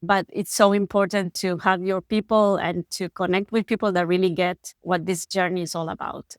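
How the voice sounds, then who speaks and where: A woman's voice: fairly low pitch, steady tone, normal speed, no echo, clean studio sound. A middle-aged woman with an Argentine accent, a native Spanish speaker, talking reflectively about her own experience as a podcast co-host. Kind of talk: personal reflective talk.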